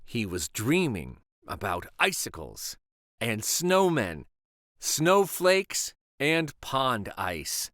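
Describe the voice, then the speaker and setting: A man hosting a children's read-aloud, narrating a storybook in a slow, expressive and soothing way. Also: medium pitch, no room sound, clean studio sound.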